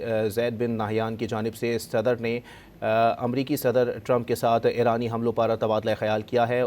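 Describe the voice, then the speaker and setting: A man's voice: lowish voice, a little mellow, slight background noise. A man with a Pakistani accent reading breaking news as an anchor, in a formal, insistent delivery. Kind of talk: breaking news bulletin in Urdu, repeated formally.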